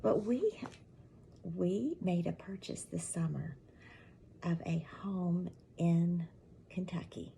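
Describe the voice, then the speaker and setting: A middle-aged woman talks casually to her channel viewers in a low, mellow, gravelly voice that is raspy from allergies. She rambles in a friendly way, and many of her words trail off into a soft half-whisper. A faint hum of noise sits behind her. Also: slow, long pauses, rough recording. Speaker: channel host. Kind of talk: casual chatty update to viewers. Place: at home with a mug of tea.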